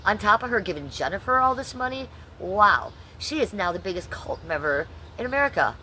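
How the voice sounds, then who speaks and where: A woman's voice: medium pitch, very animated, a little fast, sharp tone, a little hiss or hum, rough recording. A middle-aged woman from Ohio, talking bluntly and sarcastically to her chat on a livestream, swearing freely. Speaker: livestream host.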